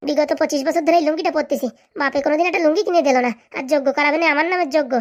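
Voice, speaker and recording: Woman voicing a high, rapid-fire cheeky young man. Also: fairly even intonation, close to an everyday voice. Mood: mocking. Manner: sarcastic, blunt, quick-tongued. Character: cheeky, sarcastic young village man, scheming and bossy. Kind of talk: comedy cartoon dialogue.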